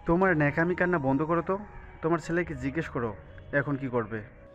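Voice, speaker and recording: A man's voice: a little high, fairly quick, fairly bright tone, lo-fi sound, soft background music, lightly acted. A man voicing an angry, stern father who scolds and commands his family in an audio story. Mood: irritated, commanding.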